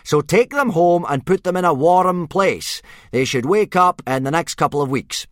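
A man voicing a gruff, blustering male Viking drill instructor who bellows at his young recruits. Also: on the high side, animated, normal speed, a bit bright, no room sound, studio quality, pitched above the performer's own voice. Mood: stern.

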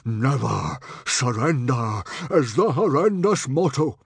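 A man's voice: medium pitch, sing-song, slow pace, creaky, slightly rough, no echo, voice pushed up, heavily acted. A man voicing a furious, proud Viking chief and stern father, roaring and declaiming pompously in an audiobook. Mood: stern, dignified.

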